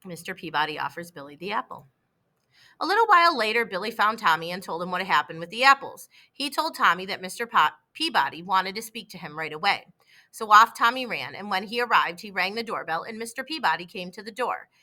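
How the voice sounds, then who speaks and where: A woman with a bright, clear-cut storytelling delivery reads a children's book aloud. Her pitch sits in the middle, and she narrates expressively and deliberately. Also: expressive. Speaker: storybook reader.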